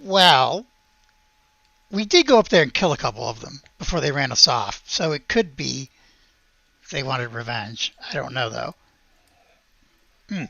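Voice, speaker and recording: Tabletop game role: a man as an earnest winemaker, slow and hesitant, gravelly edge, muffled dry recording. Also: mid-range voice, sing-song, bright, forceful tone, no echo, full character voice. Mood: musing, deadpan. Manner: hesitant, halting speech. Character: earnest vineyard man, pleasant, halting, deadpan.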